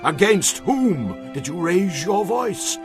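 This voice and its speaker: A stern, solemn prophet pronounces divine judgment in an audio Bible drama. He speaks slowly and emphatically in a declamatory manner, with music behind him. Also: high-pitched, sing-song, full character voice. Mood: indignant. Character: stern male prophet declaring God's wrath and promises.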